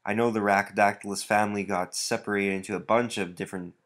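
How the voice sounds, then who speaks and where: A man's voice: lowish voice, steady tone, quick pace, fairly bright tone. A man with a stuffy nose, casually walking through his reptile breeding rack with many fillers and rambling asides. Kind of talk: casual walkthrough vlog.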